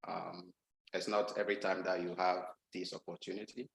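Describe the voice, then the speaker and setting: A man's voice: deep voice, little pitch movement, moderate pace, vocal fry, fairly bright tone, echoey room, a little hiss or hum, rough recording. A man from Ghana giving a guest talk over a video call, speaking hesitantly with many ums and restarts. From Ghana, Volta region. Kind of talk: casual online talk.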